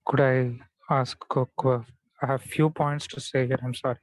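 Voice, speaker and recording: A man's voice: mid-range voice, flat delivery, normal speed, no room sound. A man whose first language is Tamil, from India, politely giving feedback in an online meeting, with frequent hesitations. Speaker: audience member.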